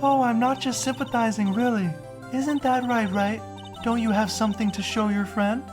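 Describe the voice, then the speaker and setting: A man voicing a poised, kindly woman who is a chief lawyer and mentor, measured and gently teasing, in a fan-dubbed game. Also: very high voice, steady tone, over music. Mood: earnest, encouraging. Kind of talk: fan-dub video game dialogue.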